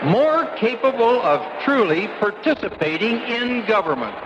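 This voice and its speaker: A man's voice: sits high, very animated, a little slow, dull audio, noisy background. A man delivering a declamatory political keynote speech. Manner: declamatory oratory. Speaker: keynote speaker.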